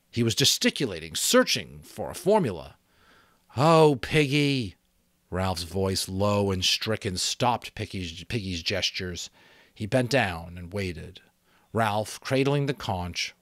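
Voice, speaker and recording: A man hosting and narrating a book aloud, theatrical and expressive, with casual asides. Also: fairly low pitch, no room sound. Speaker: audiobook reader and host.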